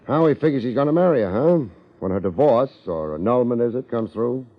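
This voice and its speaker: An actor playing a wry, hardboiled American private investigator, wisecracking drily in a 1950s radio detective drama. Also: male voice, medium pitch, expressive, brisk, lightly acted. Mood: probing. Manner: wisecracking, dry, sardonic. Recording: muffled 1950s radio recording.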